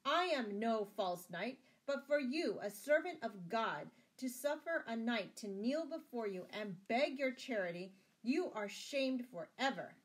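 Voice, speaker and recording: A man's voice: high voice, lively intonation, measured pace, few pauses, voice pushed up, rough recording. A woman voicing a proud, earnest medieval knight with a courtly manner, in a storybook tale. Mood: proud, defiant. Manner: earnest, courtly, pleading or defiant. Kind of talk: storybook character voice.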